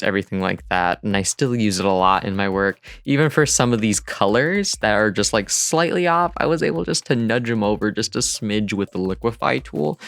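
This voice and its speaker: A man's voice: fairly low pitch, creaky, a bit bright, dry recording. A man giving a casual, rambling tutorial voice-over in an informal, self-deprecating way. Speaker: digital artist narrating a dev vlog. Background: music.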